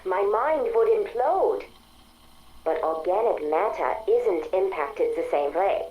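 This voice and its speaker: A woman's voice: medium pitch, lively intonation, takes it slowly, dull audio. A woman playing an earnest, pleading artificial intelligence in a video game drama, with formal, persuasive phrasing. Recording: roomy echo, band-limited comms, noisy.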